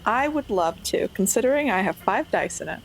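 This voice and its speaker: A woman's voice: medium pitch, lively intonation, moderate pace, low-quality recording. A woman talking casually as a tabletop game player, describing her character's moves in the third person. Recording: faint background noise.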